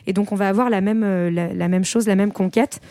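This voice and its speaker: Mellow-voiced woman explaining with hesitations. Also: medium pitch, fairly even intonation, a little fast, no echo. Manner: explains with frequent hesitations and self-corrections. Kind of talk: casual explanatory chat.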